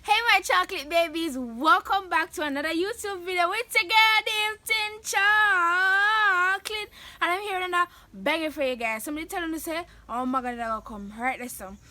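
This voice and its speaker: A young Jamaican woman hosting her vlog, chatting animatedly and breaking into loud laughs and shouts. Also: extremely high pitch, moderate pace, few pauses, no echo. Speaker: vlogger host. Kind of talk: casual chat answering questions.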